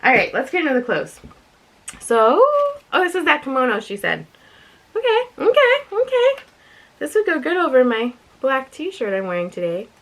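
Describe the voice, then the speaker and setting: A woman with a slightly raspy voice, chatting casually and thinking aloud as she presents an unboxing video with giggly asides. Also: sits high, fairly bright tone. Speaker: unboxing and review vlogger.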